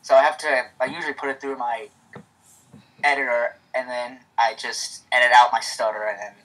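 Casual man with a stutter and a mid-pitched voice, chatting, then reading a story aloud. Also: level tone, moderate pace, pushed and bright, poor audio. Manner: stutters, with restarts.

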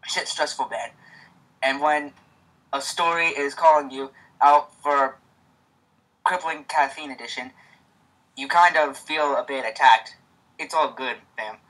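Casual man with a stutter and a mid-pitched voice, chatting, then reading a story aloud. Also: fairly bright tone, poor audio. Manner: stutters, with restarts.

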